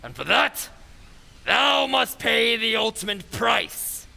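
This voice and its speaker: A man playing the vengeful ghost of a stern old Puritan in old-time radio horror style, making slow, menacing, archaic proclamations in a gravelly voice. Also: very high voice, sing-song, pushed and bright, long reverb tail, exaggerated voice.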